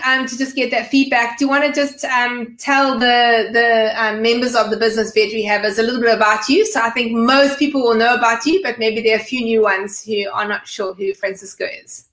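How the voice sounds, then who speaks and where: A woman's voice: fairly high pitch, steady tone, normal speed, a bit bright. A woman hosting a live online video chat, chatty and full of "um" fillers as she talks. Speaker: podcast host. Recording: roomy live-stream audio.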